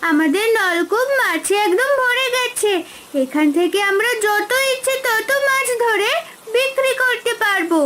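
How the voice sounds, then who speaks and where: A woman voicing a sweet, clever young village girl in a cartoon fairy tale, in an expressive childlike manner. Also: very high voice, unhurried, a little hiss or hum. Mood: excited, grateful. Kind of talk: animated Bengali fairy-tale cartoon dialogue.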